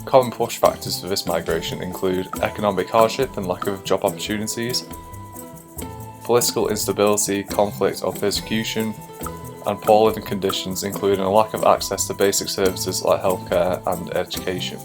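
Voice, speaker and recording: Deep-voiced male documentary narrator. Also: brisk. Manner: steady, explanatory delivery. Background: guitar music.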